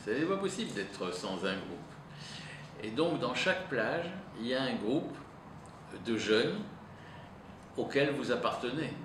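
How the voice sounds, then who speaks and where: Man, first language Italian, psychoanalyst interviewee, hesitant, unhurried, distant mic, echoey room. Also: mid-range voice, very animated. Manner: hesitant, thinking aloud with frequent pauses. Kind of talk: reflective interview answer. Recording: roomy echo, distant mic, noisy.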